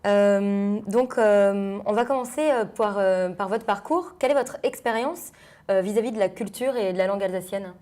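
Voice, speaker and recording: A woman's voice: medium pitch, normal speed, mellow. A young woman, a student interviewer, asking questions hesitantly with many fillers. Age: young adult. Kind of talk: interview hosting.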